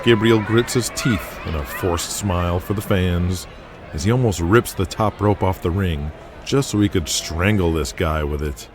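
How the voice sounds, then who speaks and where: A man's voice: low voice, moderate pace, a crowd in the background, noisy background. A man narrating a scripted audio drama.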